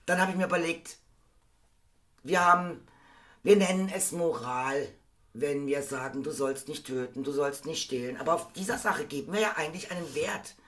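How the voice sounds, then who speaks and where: A man hosting a casual podcast, hoarse and a bit worn out, rambling through his thoughts with the odd chuckle. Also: on the high side, normal speed, a bit bright. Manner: rambling, self-mocking, chuckling. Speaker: podcast host.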